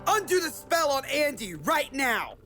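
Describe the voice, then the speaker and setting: A man's voice: extremely high pitch, very animated, measured pace, exaggerated voice. A man playing a hot-headed, protective young-sounding adventurer in a cartoonish audio drama, emphatic and threatening. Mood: furious. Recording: loud music under the voice.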